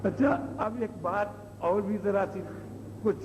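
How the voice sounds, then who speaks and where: A man's voice: high voice, steady tone, slow, darkish tone. A man preaching an Islamic sermon in an explanatory way, asking rhetorical questions and repeating his points. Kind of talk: sermon, explaining religious stories. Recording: old noisy cassette, long hall reverb.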